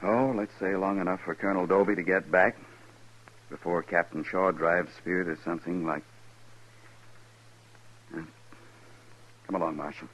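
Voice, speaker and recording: A man playing an earnest, worried Army lieutenant, polite and guarded, with a husky voice in 1950s radio western style. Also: low-pitched, steady tone, normal speed, long pauses, a bit bright, muffled recording, a little hiss or hum.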